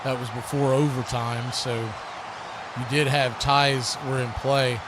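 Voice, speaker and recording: A man's voice: medium pitch, flat delivery, slow pace. A man doing live play-by-play commentary of a football game as a sports announcer. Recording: noisy live stream, clear background noise.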